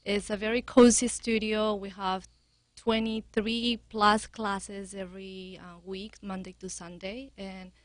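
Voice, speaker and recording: A woman from Peru with a Peruvian Spanish accent, talking earnestly as a yoga studio director, with many halting restarts. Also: medium pitch, steady tone, no room sound. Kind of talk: casual interview chat.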